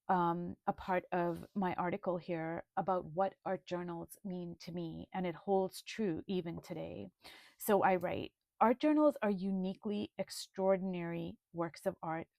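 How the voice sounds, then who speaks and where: A woman presenting her art journal in a video, speaking in a slow, thoughtful, gently earnest way. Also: fairly low pitch, creaky, no echo. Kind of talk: reflective video flip-through.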